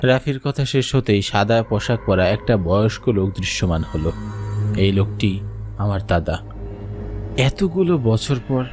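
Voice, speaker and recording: A man's voice: mid-range voice, close to an everyday voice. A man playing a frightened young university student, narrating a horror cartoon in a suspenseful, dramatic first-person style. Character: frightened young male university student telling his ghost story.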